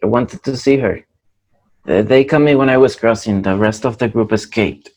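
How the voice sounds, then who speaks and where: A man's voice: on the low side, normal speed, darkish tone, natural voice. An actor playing an earnest young Mexican man who mixes in Spanish phrases, in a play reading.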